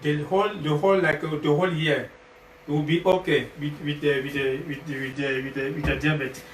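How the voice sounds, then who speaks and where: A man from Cameroon with a Cameroonian accent presents products informally, in a halting way that repeats and rephrases as he goes. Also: fairly high pitch, little pitch movement. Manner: halting, repetitive, rephrasing phrases several times. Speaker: health product sales partner, guest presenter. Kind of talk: informal livestream product pitch. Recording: echoey, noisy, distant livestream mic.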